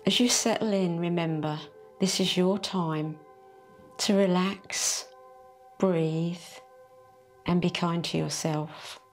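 A woman leading a guided meditation in a soft, soothing, unhurried way. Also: lowish voice, fairly even intonation. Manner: slow, soft and soothing, drawn-out phrases. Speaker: meditation teacher. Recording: faint music behind the voice.

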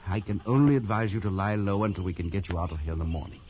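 A man acting as a stern old-time colonial hand in a radio play, with a lowish voice. He is authoritative and admonishing, a grim man of experience. The vintage recording is dull, with faint noise behind him. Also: very fast, close to an everyday voice. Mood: grave warning. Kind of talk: post-war radio drama acting.

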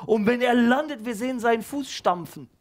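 A man doing a stammering, excitable schoolboy. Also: very high voice, a little fast, pitched above the performer's own voice. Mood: excited. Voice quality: stammering, slangy broken street German.

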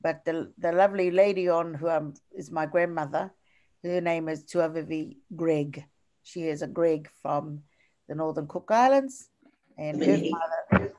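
Older kiwi woman, lower voice, lecturing over slides on a video call, lots of ums and restarts. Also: darkish tone. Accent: New Zealand. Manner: halting, many fillers and restarts. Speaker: professor giving a lecture.

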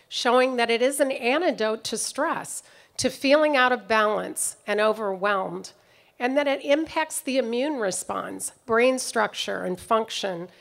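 A middle-aged woman giving a prepared commencement speech at an outdoor podium microphone, in a measured, reflective way. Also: fairly high pitch, vocal fry, a bit bright. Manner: measured, reflective delivery reading from notes. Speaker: commencement speaker. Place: outdoor ceremony stage.

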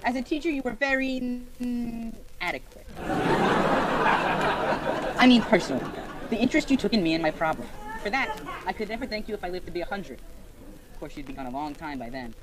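A woman playing an earnest, gushing, pompously wordy high school boy in an old-time radio comedy. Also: expressive, fairly quick, long pauses, very noisy, natural voice. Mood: earnest, sentimental. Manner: florid, over-earnest, hesitant.

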